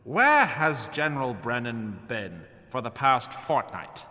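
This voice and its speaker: An actor playing a grave lord treasurer who makes accusations in formal, measured courtly speech in a fantasy audio drama. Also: male voice, medium pitch, very animated, sharp tone, full character voice. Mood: defiant accusation. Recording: echoing hall with long reverb.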